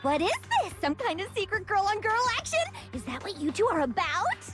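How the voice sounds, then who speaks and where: A man reading out a brash, teasing teenage schoolgirl from a video game in a shrill falsetto. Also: wildly swooping pitch, a little fast, heavily acted. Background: game music.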